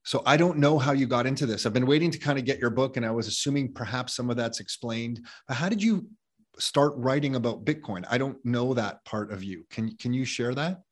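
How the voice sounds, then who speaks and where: Male podcast host, eager, talky, mid-pitched, casual interviewer. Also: fairly quick. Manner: enthusiastic, rambling with restarts.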